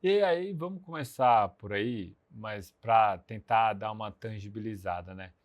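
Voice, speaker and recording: A man hosting a podcast interview, opening the episode and asking questions in an energetic, conversational way. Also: lowish voice, measured pace, muffled recording. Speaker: podcast host.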